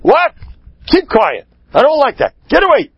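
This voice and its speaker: A man's voice: high-pitched, expressive, fairly quick, dull audio, a little hiss or hum, pitched above the performer's own voice. A man telling a story in the voice of a wily rabbi who puts on indignation, exclaiming and repeating himself. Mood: feigned fury. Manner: exclamatory, repetitive, feigned indignation. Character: wily rabbi, theatrically outraged, shouting at his helper.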